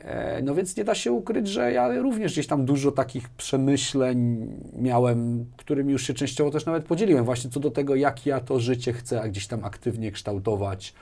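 A man's voice: mid-range voice, moderate pace, creaky, hardly any pauses, mellow. A man in his forties speaking casually as an interview guest, often repeating phrases as he thinks aloud. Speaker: interview guest, business executive. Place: small furnished studio room with bookshelves, indoors. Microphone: lapel mic.

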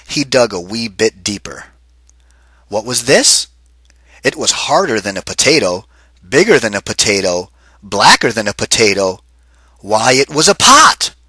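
A man reading a children's picture book aloud, expressive and lively, pausing to ask kids questions. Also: mid-range voice, husky, dry recording. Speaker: read-aloud teacher.

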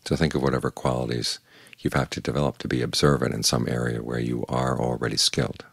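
An older man, a Buddhist monk, giving a calm, measured evening meditation talk in plain words. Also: a bass voice, creaky, breathy edge, no room sound.

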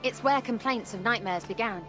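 An actress as a friendly, concerned village woman guiding others in a game cutscene. Her voice is on the high side and her manner plain and earnest. Music is clearly heard behind her. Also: normal speed, lightly acted. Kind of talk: dramatic video game cutscene acting.

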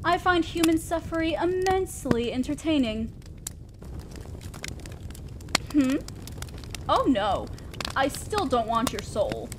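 A woman playing a haughty, teasing female demon lord in a roleplay audio drama, with a drawn-out, condescending, theatrical delivery. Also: high voice, animated. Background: crackling fire.

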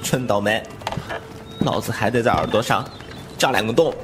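A man's voice: on the low side, normal speed, over music, lightly acted. A man playing a cheeky young martial-arts hero in a wuxia audio drama, playful, mouthy and mock-grumbling.

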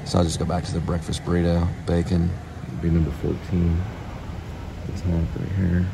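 A man's voice: sits low, completely flat delivery, normal speed, creaky, background noise. A man vlogging casually while on a delivery run, talking in a rambling, offhand way that trails off and repeats itself. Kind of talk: casual talk to camera. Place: in and around a car on delivery rounds.

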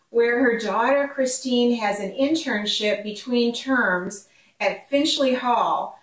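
An older woman with a fairly high voice gives a scripted introduction to her mystery novel. The kitchen rings around her, and she stands well back from the microphone. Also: fairly bright tone. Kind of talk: scripted book introduction to camera. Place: home kitchen. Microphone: camera a few steps away. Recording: roomy kitchen echo, distant microphone.

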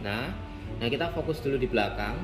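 A man's voice: mid-range voice, expressive, a little fast. A man running a casual, chatty hands-on product demo in an indoor motorcycle shop, talking to viewers like friends. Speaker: motorcycle gear shop owner demonstrating a product. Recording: echoey room with background music. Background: background music.

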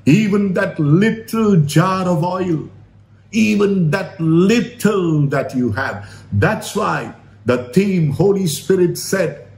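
A man's voice: sits high, expressive, slow pace, darkish tone. A man preaching a sermon in an earnest, exhorting manner, repeating phrases for emphasis. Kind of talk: sermon retelling a Bible story. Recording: roomy, echoing sound.

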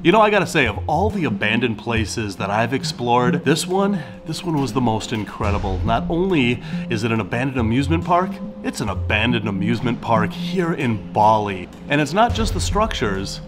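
A man's voice: medium pitch, very animated, moderate pace, music in the background. A man casually narrating as he explores overgrown ruins outdoors, chatty and joking, with a handheld camera mic. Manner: chatty, curious, jokey. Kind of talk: casual walking vlog narration.

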